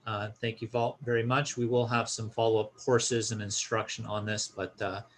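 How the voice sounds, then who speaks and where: Man giving a casual software walkthrough. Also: on the low side, flat delivery, moderate pace. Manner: informal, explanatory, step-by-step. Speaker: software tutorial presenter. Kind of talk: casual instructional screen-share walkthrough.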